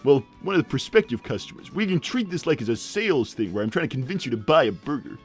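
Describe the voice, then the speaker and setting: A man's voice: medium pitch, sing-song, soft background music, natural voice. A man voicing a short, crude cartoon hedgehog dad, rambling and repeating himself matter-of-factly in improvised livestream banter. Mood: casual, smug. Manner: halting, repetitive, matter-of-fact oversharing.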